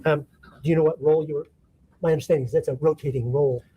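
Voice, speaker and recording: Man, trial attorney, mellow dark tone, slightly high pitch, halting questions. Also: fairly even intonation, breathy edge, low-quality recording. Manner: halting, many ums and rephrasings. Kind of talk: witness examination. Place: courtroom.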